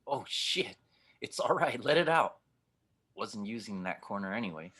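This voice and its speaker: A man's voice: fairly low pitch, expressive, normal speed, pushed and bright, husky, full character voice. A man playing a blunt, protective, reassuring starship pilot in an audio drama table read. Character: gruff, steady older male starship pilot, protective of his companion.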